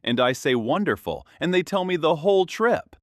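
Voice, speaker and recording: A man's voice: fairly high pitch, moderate pace, no echo. A British man from London co-hosting an English-learning podcast, telling stories in a dry, playful, joking way. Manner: dry, playful storytelling with lively jokes. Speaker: podcast co-host.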